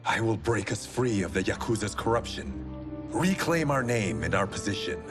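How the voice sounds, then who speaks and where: A man's voice: fairly low pitch, lively intonation, normal speed, music in the background, lightly acted. An actor playing a proud, determined male swordsman in a video game, with an earnest, terse and intense manner. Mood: resolute. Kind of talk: cinematic video game dialogue.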